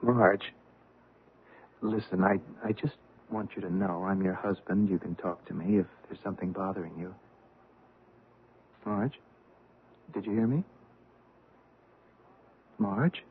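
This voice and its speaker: Husky, affable concerned husband. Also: male voice, deep voice, unhurried, pauses often. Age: 40s. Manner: earnest, hesitant, solicitous. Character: concerned, affable husband just past forty. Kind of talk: radio drama acting. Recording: muffled, tinny old radio recording.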